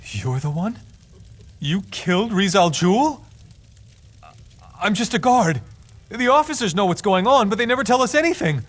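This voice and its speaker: An actor voicing a captured enemy guard in a video game, defiant but easily cowed, with a high, husky voice. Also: male voice, sing-song, moderate pace, pauses often. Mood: nervous, yielding. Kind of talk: video game character dialogue.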